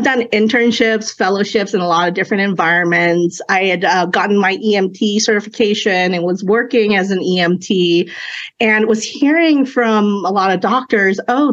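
A woman in her thirties, Cantonese her first language, talks through her path as a podcast guest. She pauses often, circling her thoughts with um and you know. The sound is slightly dull and lo-fi. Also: medium pitch. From China. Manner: reflective, many fillers and restarts. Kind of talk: conversational interview.

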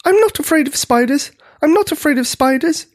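A narrator doing a shrill, panicky giant mum. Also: lively intonation, brisk. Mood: panicked. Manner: panicky, chanting. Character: big giant mum, terrified of spiders, shrill.

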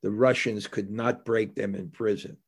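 Male spiritual teacher, gravelly fry in the voice, reflective and halting, conversational storytelling delivery for a devotional discourse. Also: fairly low pitch, steady tone. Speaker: devotional teacher giving a religious talk. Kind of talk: anecdotal spiritual discourse, storytelling between scripture readings.

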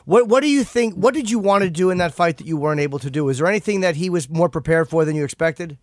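Quick, rambling male podcast host. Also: on the high side, dry recording, clean studio sound. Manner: self-deprecating, rambling questions. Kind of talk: casual interview chat.

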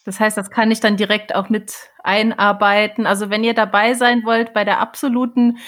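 A woman's voice: mid-range voice, level tone, moderate pace, fairly bright tone. A woman co-hosting a podcast in casual chat, often searching for words. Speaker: podcast co-host.

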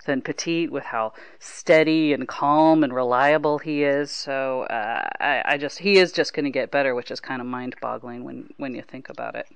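A woman's voice: a bass voice, moderate pace, hardly any pauses, smooth, dry recording. A woman hosting a casual sports talk interview. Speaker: podcast host interviewing a broadcaster.

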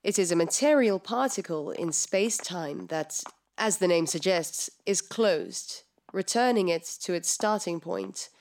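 Low-voiced, earnest female scientist. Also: animated, measured pace, hardly any pauses, darkish tone. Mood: precise, instructive. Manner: formal, careful, expository. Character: earnest, precise female scientist explaining secret research. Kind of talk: audio drama acting.

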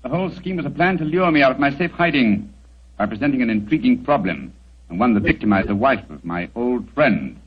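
An actor playing a shrewd, unruffled British detective with a dry wit in a 1940s radio drama. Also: male voice, mid-range voice, expressive, a little fast. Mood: accusing. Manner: crisp, wry, urbane. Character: shrewd, unruffled English bachelor detective, dryly witty. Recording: dull, noisy old 1940s radio recording.

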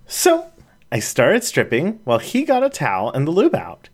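A woman's voice: low voice, huge pitch swings, moderate pace, breathy edge, exaggerated voice. A reader voicing a shy young female raccoon who talks in hesitant, emotional bursts. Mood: shy, tender.

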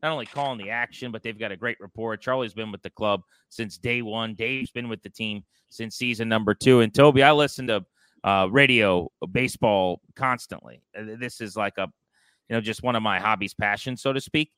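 A man's voice: lowish voice, brisk, a bit bright, smooth, no room sound, low-quality recording. A man hosting a sports podcast, talking and giving opinions in a conversational style.

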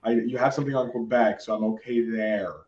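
A man's voice: mid-range voice, fairly even intonation, fairly quick, darkish tone, distant mic, dull audio. A man hosting a podcast interview, chatty and enthusiastic, often restarting his sentences. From Canada. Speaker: podcast host. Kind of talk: casual interview chat. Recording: echoey roomy sound from off-mic.

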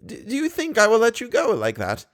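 A man's voice: sits high, huge pitch swings, fairly quick, fairly bright tone, voice pushed up, heavily acted. A man reading aloud, voicing a kindly, bedridden old woman who speaks with simple, cheerful faith. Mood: earnest.

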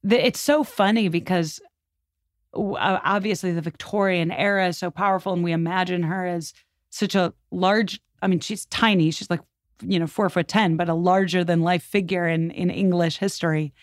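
A woman's voice: fairly low pitch, steady tone, normal speed, no echo, studio quality. A woman guest on a podcast talking history in an informal, emphatic storytelling way. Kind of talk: conversational history discussion.

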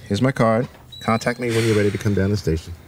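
A man's voice: lowish voice, fast, noisy background, close to an everyday voice. A man voicing a businesslike police officer in an audio drama, clipped and unbothered. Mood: brisk. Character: brisk, bored police officer.

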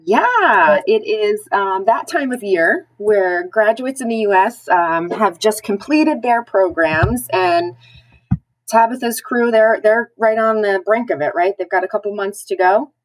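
A woman's voice: mid-range voice, animated, unhurried, low-quality recording. An American woman chatting casually as a podcast co-host. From New Jersey, United States. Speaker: podcast co-host, dental hygienist.

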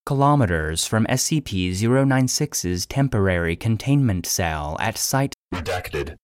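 A man's voice: fairly low pitch, expressive, a little slow, a little hiss or hum. A man voicing an impersonal facility database, reading classified logs in a formal, clinical manner. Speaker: database narrator reading an incident log. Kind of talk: scripted narration.

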